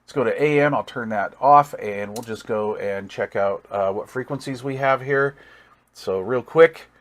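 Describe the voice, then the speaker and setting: A man's voice: medium pitch, moderate pace. A man giving a casual hands-on product demonstration as a hobbyist reviewer, thinking aloud with folksy, easygoing enthusiasm. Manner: thinks aloud while working.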